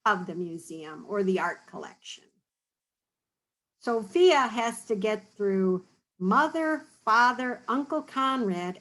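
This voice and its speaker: Woman storytime reader, gentle and teacherly, unhurried, mid-pitched. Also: low-quality recording. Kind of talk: children's picture book read-aloud with explanations.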